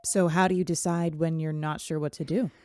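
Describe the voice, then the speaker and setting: A woman's voice: sits low, fairly even intonation, fairly quick, a little mellow, natural voice. A woman playing an earnest computer girl. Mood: curious.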